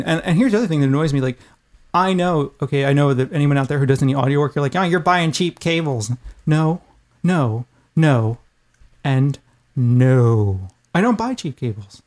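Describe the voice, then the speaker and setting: High-voiced, irreverent man co-hosting a podcast. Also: expressive, normal speed, a little mellow. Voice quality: high-pitched voice. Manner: irreverent, casually profane, rambling. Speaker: podcast host. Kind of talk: casual chat.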